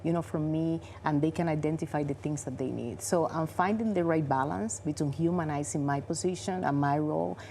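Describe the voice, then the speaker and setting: Dominican-accented woman in her 40s, spanish first language, low warm voice, explains things earnestly. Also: fairly quick, hardly any pauses, a little hiss or hum. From Dominican Republic. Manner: earnest and explanatory, non-native grammar. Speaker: bank vice president, guest. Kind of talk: interview answers.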